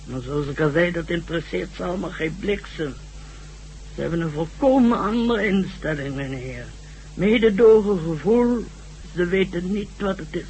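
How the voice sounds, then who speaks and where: A man acting in a radio drama as an earnest, learned professor who lectures deliberately and emphatically. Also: high-pitched, darkish tone. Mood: grave, emphatic. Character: earnest learned male professor explaining a doomsday threat. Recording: noisy hissy old radio drama recording.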